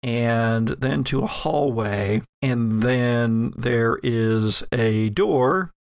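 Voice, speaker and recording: Male game master for tabletop audio, mid-pitched, playful, halting storyteller, very dry recording. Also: no echo. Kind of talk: narrating an actual-play tabletop game.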